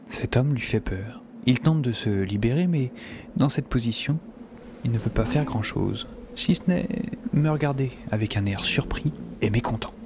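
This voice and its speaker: An actor playing a wry scientist who narrates his own adventure in the first person, in a measured, confiding way. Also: mid-range voice, background noise, natural voice. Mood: watchful, descriptive.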